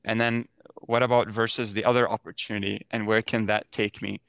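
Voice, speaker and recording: A man's voice: lowish voice, level tone, fairly quick, gravelly, a bit bright, smooth, no room sound. A man from the Middle East giving conversational, explanatory interview answers as a podcast guest. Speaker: podcast guest, software engineering director.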